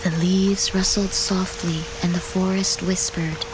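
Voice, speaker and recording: A woman reading a scripted fairytale as storyteller, in a gentle, measured storybook delivery. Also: lowish voice, little pitch movement, noisy background. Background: music behind the voice.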